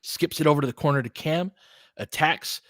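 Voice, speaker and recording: A man's voice: a little high, fairly quick, no room sound, studio quality. A man doing live basketball play-by-play and analysis in a conversational, slangy way, explaining tactics in detail.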